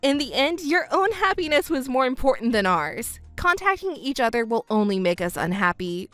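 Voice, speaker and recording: A woman voicing a calm, cold young stepdaughter in a melodramatic audio drama. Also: high-pitched, faint music behind. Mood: dismissive. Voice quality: cool, measured, firm.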